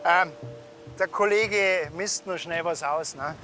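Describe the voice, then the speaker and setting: An actor playing a wry, unhurried policeman with a Bavarian accent and a dry, colloquial manner in a TV crime comedy. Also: high voice, over music. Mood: wry, matter-of-fact.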